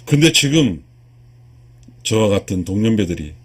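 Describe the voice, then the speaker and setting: Husky man in his sixties, lecturing emphatically. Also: fairly low pitch, big pitch swings, normal speed. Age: 60s. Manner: earnest, emphatic, repeats and restarts key phrases. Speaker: lecturer, video channel host. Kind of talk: spiritual lecture addressed to viewers.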